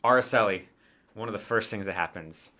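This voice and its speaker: Low-voiced man running a tabletop game. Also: brisk, bright, forceful tone. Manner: conversational, checks in with "right?". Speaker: game master. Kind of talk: improvised tabletop game narration.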